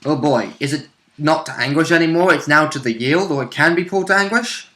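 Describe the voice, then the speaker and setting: A man in casual chat about a tabletop game, sizing up each side's chances with confident analysis. His voice is bright and forceful. The recording has a roomy echo. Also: a little high, fairly quick. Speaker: co-commentator.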